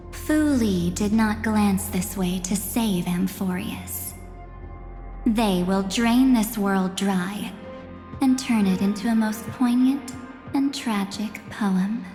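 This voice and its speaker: Actress playing enigmatic young game woman, slow, measured, mocking, theatrical, long reverb, music underneath. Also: mid-range voice, mellow, lightly acted. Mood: grave, condescending. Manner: measured, deliberate, condescending, theatrical. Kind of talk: video game voice acting, theatrical dialogue.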